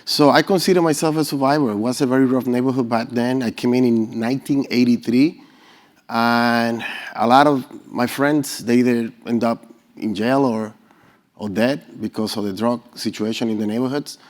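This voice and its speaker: A man's voice: mid-range voice, fairly even intonation, normal speed. A middle-aged man from the Dominican Republic, a native Spanish speaker with a Dominican accent, telling his own story as a panelist. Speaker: panelist, business founder. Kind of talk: unscripted personal story to an audience.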